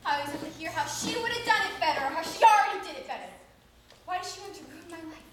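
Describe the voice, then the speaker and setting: Woman acting out a bitter jealous kid sister yelling at her mom, high bright voice, lots of echo. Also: lively intonation, racing, a little hiss or hum. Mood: bitter accusing. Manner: bitter, accusing, rising. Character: resentful girl in her sister's shadow. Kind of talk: dramatic stage monologue. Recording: very echoey room.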